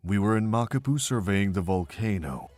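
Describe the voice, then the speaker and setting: A man voicing a weary, kindly older monk with a measured, earnest way of telling things, in a fan visual novel. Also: fairly low pitch, expressive, darkish tone, faint music behind, lightly acted. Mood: grave, earnest.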